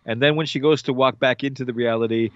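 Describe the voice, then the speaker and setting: A man casually recapping a TV episode, rambling with many fillers and animated retelling. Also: medium pitch, fast, dry recording, muffled recording. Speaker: podcast host recapping a TV episode. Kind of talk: casual chat.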